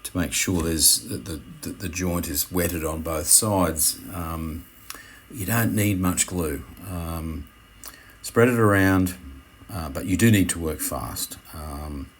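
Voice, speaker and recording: A man giving an unscripted how-to commentary as an instructor, speaking in a halting way and often correcting himself. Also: low voice, husky. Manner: hesitant, halting, self-correcting.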